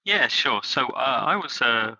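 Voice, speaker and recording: A man from England, a company's chief executive, answering interview questions in halting phrases with many fillers. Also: medium pitch, animated, sharp tone, highs cut off. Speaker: guest, company CEO.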